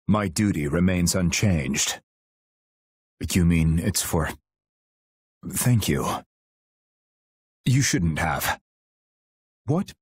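Stern male game warrior, deep rough-edged voice, slow measured pace, solemn, dutiful, formal, terse. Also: no echo, clean studio sound, full character voice. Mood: composed, dutiful, then reserved, polite. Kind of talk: video game battle voice lines.

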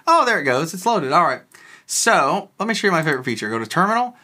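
Tech host chatting through a software demo, bright clear voice, rambles and thinks out loud. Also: mid-range voice. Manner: rambling, thinks aloud while clicking. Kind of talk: casual screen-recorded software walkthrough.

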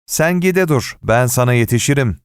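A man's voice: mid-range voice, lively intonation, normal speed, smooth, no room sound, studio quality, lightly acted. A man voicing a casual, nosy neighbourhood man in an audiobook story, with a familiar, prodding manner. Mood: breezy. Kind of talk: audiobook character dialogue.

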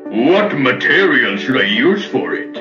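An actor playing a friendly, jolly cartoon animal builder in a children's game. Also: male voice, medium pitch, sing-song, measured pace. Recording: dull, roomy lo-fi game audio. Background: loud music.

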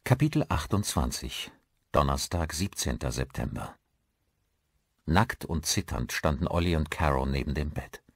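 Low, husky male horror audiobook narrator. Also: lively intonation, moderate pace, no echo, clean studio sound. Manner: suspenseful, theatrical. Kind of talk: dramatic scripted narration of a horror story.

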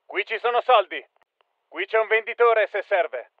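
An actor voicing a tough soldier barking clipped, commanding battlefield callouts for a military shooter game. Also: male voice, high-pitched, unhurried, dry recording, phone-quality sound. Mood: focused, businesslike.

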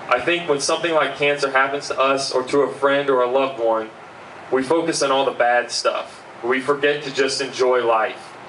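Earnest young man reading a speech outdoors. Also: mid-range voice. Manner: earnest, steady, fillers between points. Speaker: keynote speaker at a benefit. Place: outdoor backyard party. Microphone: handheld mic, recorded by far camera. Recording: distant roomy outdoor sound, faint hum.

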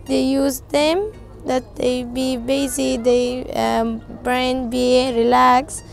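High-pitched teenage girl with Afghan accent. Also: little pitch movement, vocal fry, soft background music. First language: not English. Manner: halting, simple non-native English. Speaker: interviewee, volunteer translator. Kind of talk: informal interview answers. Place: outdoors on a roadside.

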